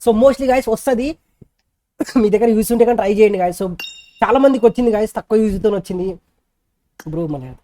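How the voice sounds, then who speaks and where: A woman's voice: medium pitch, normal speed, pauses often, warm tone, a little hiss or hum. A woman with a Telugu accent chatting casually to viewers as a gaming streamer, mixing in informal English slang. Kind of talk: casual livestream chat to viewers.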